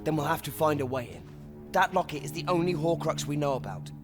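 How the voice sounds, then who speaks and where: A man's voice: fairly high pitch, fast, lightly acted. An actor playing a determined young male wizard on the run, firm and urgent in his questions. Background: game music.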